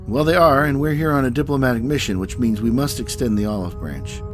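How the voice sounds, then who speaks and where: A man playing a composed, diplomatic starship captain in a science-fiction audio drama, crisp and commanding. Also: mid-range voice, fairly quick, soft background music, lightly acted. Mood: firm.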